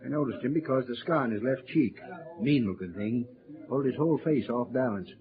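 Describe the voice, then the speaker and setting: An actor playing a talkative, folksy gold prospector with an American accent, in the animated style of old radio drama. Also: male voice, mid-range voice, brisk, close to an everyday voice. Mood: jovial, boastful. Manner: folksy, colloquial, chuckling, animated. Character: excitable, talkative, poker-loving gold prospector, a man. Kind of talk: 1940s-50s radio drama acting. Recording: dull old radio recording, noisy saloon crowd.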